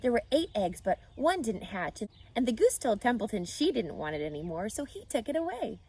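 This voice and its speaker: A woman's voice: on the high side, very animated, a little fast, dark tone, husky, pitched above the performer's own voice, full character voice. A woman voicing an eager, matter-of-fact young farm girl in a children's storybook, chatty and earnest. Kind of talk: children's storybook read-aloud.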